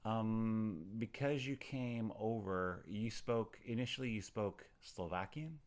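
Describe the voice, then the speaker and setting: Casual male interviewer, somewhat low voice. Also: slow pace. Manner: stammers into questions, rephrases them. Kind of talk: casual probing oral history interview.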